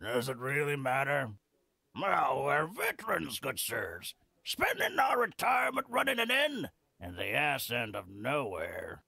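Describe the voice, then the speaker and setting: Gravelly, boozy old war veteran. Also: male voice, mid-range voice, huge pitch swings, measured pace, pushed and bright, dry recording, exaggerated voice. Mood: cheeky. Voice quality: gravelly, raspy. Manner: drawling, theatrical, irreverent. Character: scruffy old male war veteran, boozy, cheeky freeloader. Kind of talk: video game character acting.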